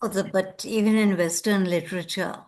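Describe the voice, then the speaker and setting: A woman's voice: medium pitch, steady tone, fairly quick, darkish tone. A woman chipping into an informal group discussion.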